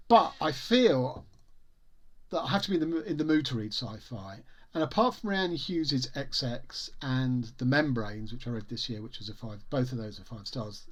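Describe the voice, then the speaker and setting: A man talking casually to camera as a book vlogger, in a hesitant, discursive way with halting phrases. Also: mid-range voice. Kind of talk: casual talk to camera about books.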